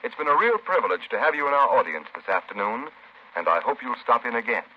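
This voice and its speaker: A man's voice: mid-range voice, very animated, quick pace. An American man announcing in scripted 1930s radio style, formal, polished and emphatic. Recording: muffled, noisy 1930s radio broadcast.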